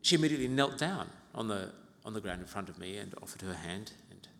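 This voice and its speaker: A man in his fifties from Uganda preaches in a large church with long, ringing reverb. His voice sits on the low side, and he explains scripture in a measured, reflective manner, pausing and slipping in gentle asides. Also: lively intonation, a little hiss or hum. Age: 50s. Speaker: pastor preaching to own congregation. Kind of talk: expository sermon. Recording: reverberant hall.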